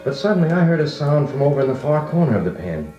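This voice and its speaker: A man's voice: on the high side, big pitch swings, fast talker, warm tone, lo-fi sound. A man playing a grown-up recalling his farm boyhood, narrating in a folksy, nostalgic American voice like an old radio drama. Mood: building wonder. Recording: echoey vintage record with music.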